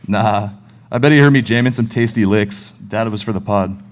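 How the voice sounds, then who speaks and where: A man's voice: lowish voice, brisk, natural voice. A young man acting as a cocky musician who retells a monster encounter in slangy, dry fashion for a radio play. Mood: dry, dismissive. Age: young adult. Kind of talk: scripted radio play storytelling.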